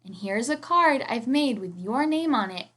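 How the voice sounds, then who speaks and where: A woman voicing an affectionate, earnest little girl in a storybook, with expressive exclamations. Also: fairly high pitch, moderate pace. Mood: fond scolding. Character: affectionate, earnest little girl attached to her teddy bear.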